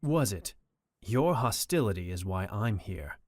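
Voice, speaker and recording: A man playing a stern, principled Keyblade master in a game fandub, measured and reproachful. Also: lowish voice, expressive, a little mellow, dry recording. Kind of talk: anime-style game fandub acting.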